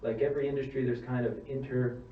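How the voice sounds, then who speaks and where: Funeral director answering questions in a hall. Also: male voice, mid-range voice, level tone, quick pace. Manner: plain-spoken, explaining step by step with restarts. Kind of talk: answering audience questions at a seminar. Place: church hall seminar. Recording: distant mic, roomy hall, dull sound, faint hum.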